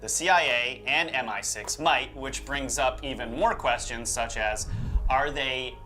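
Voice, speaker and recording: A male co-host talks informally and explains as he goes. His bright, forward voice is of medium pitch, with faint music underneath. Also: a little slow. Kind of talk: semi-scripted conversational presenting.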